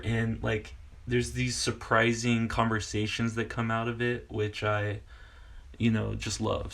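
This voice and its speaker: A man in his forties from Kansas, a teacher casually explaining classroom games in a rambling, halting way full of fillers. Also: on the low side, gravelly. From Kansas, United States. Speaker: English teacher and video host. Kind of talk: casual tutorial talk.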